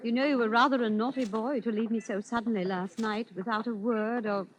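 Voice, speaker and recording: An actress in old-time radio style playing a genteel widow, proper and coaxing, with a fussing, sweetly controlling manner. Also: female voice, a little high, fairly even intonation, brisk, natural voice. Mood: coy, teasing. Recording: faintly noisy old radio recording.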